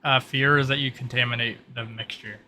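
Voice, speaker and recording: A man with a bright, forward voice at a middle pitch runs a tabletop game. He casually explains rules and rulings to his players, with slight noise on the recording. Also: fairly even intonation, a little fast. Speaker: game master.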